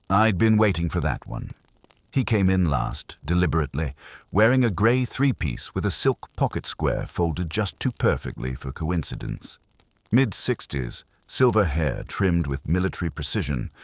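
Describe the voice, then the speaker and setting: Very deep male voice, lively pitch, wry hard-boiled detective narration, dry close sound. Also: no echo. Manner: wry, dry-witted storytelling.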